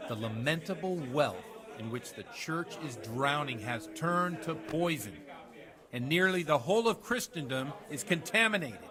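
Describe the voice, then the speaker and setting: An actor playing an impassioned village priest in a video game, declaiming theatrically against greed. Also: male voice, on the high side. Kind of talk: video game acting, fiery sermon and banter.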